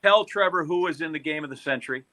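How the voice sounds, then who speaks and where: Man, American accent, slightly high pitch, bright sharp tone, casual banter, dry teasing co-host. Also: fast talker. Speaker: podcast co-host. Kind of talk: casual banter and quick-fire questions.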